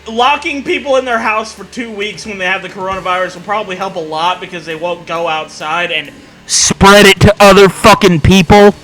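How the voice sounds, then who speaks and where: A man's voice: high-pitched, a little fast, pauses often, fairly bright tone, soft background music. A man co-hosting a comedy show, casually riffing with joking interjections.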